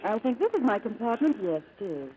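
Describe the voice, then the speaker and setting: An actress playing a gentle, strained English wife, wistful and restrained, in 1940s radio drama style. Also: female voice, a little high, sing-song, fairly quick. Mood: wistful, polite. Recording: slightly noisy old radio recording.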